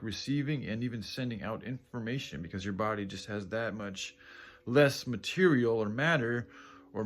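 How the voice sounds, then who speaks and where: A man with a groggy, tired voice talking casually to viewers in a halting, self-correcting, sweary ramble, often clearing his throat. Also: on the low side. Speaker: vlogger.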